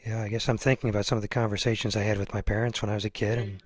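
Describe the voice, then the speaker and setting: A man's voice: on the low side, level tone, very fast. An American man hosting a casual interview in a hesitant, self-deprecating way. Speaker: show host, interviewer.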